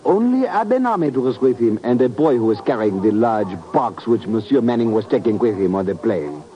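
A man's voice: mid-range voice, lively intonation, moderate pace, close to an everyday voice. Man playing a deferential hotel clerk. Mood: obliging, solemn. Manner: formal, obliging, gossipy. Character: polite, deferential French-speaking hotel clerk. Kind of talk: 1950s radio acting. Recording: muffled old 1950s radio recording.

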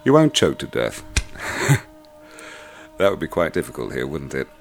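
A cold, sadistic tormentor, voiced by a man in an audio drama, speaking softly and deliberately to his captive. His voice sits very low, and it rises and falls with lively, theatrical swings. Faint music plays beneath him. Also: full character voice. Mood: silkily menacing. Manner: soft, measured, silkily menacing. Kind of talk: radio play acting.

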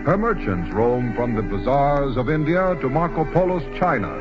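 A man delivers dramatic scripted narration in 1940s radio fashion. His medium-pitched voice rises and falls expressively over prominent music. The old recording is somewhat dull. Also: very animated, moderate pace.

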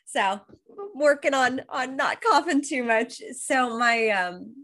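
A woman's voice: high-pitched, slow pace, a bit bright. A woman in her thirties from Los Angeles with an American accent, a coach speaking as an interview guest, talking fluently and upbeat while getting over a cold. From Los Angeles, USA. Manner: fluent and upbeat, restarts phrases often. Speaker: guest, high performance coach. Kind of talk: casual interview talk.

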